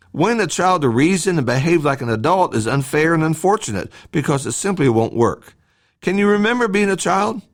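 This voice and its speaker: A man reads a scripted devotional talk as a church podcast host, in a measured, instructive way with short emphatic phrases. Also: a little high.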